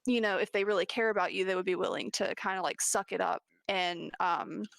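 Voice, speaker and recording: A young woman streamer, a podcast guest, talking quick and sassy in casual chat over a headset mic. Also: medium pitch, fairly even intonation, gravelly, fairly bright tone, no room sound. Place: dim room, gaming chair.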